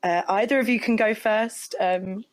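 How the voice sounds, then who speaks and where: A woman's voice: medium pitch, fairly even intonation, normal speed, dry recording. Effusive woman hosting a podcast, dry sound. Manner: effusive, appreciative. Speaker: podcast host. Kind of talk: conversational podcast interviewing.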